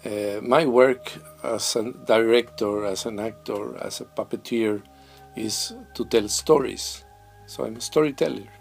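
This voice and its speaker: A middle-aged man from Peru, answering interview questions in a thoughtful, halting way, with many pauses and restarts, seated in his mask and puppet workshop. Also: lowish voice, vocal fry, faint music behind. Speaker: theatre director and mask maker. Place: workshop full of masks and puppets, indoors.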